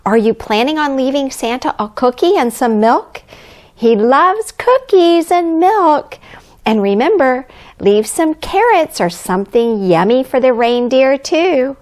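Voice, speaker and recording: A woman plays Santa's kindly wife as a storybook narrator for young children. The delivery is gentle and cheerful, taken at a leisurely pace. The melody rises and falls with plenty of expression. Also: high-pitched, expressive, measured pace, pitched above the performer's own voice. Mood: cheerful, inviting. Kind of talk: children's storybook read-aloud.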